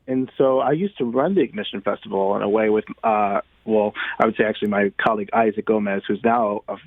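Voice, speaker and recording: A man being interviewed as a guest playwright, talking conversationally in a thoughtful, halting way with many pauses. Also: lowish voice, creaky, dry recording, narrow, tinny audio.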